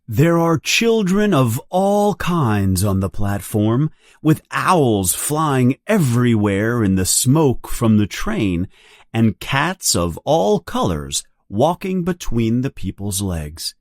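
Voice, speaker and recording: A narrator reading a scripted story aloud for learners in a deliberate, clearly enunciated manner. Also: medium pitch, very animated, no room sound, studio quality. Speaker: audiobook narrator. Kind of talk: scripted read-along storytelling.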